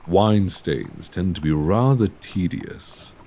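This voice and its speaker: A man playing a courteous, secretive Victorian gentleman vampire in an intimate ASMR roleplay, with formal, genteel diction and endearments. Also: sits low, animated, takes it slowly, slight background noise, full character voice. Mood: genteel, amused. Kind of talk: intimate ASMR audio roleplay, romantic drama. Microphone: close binaural microphone. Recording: binaural recording with clear background ambience.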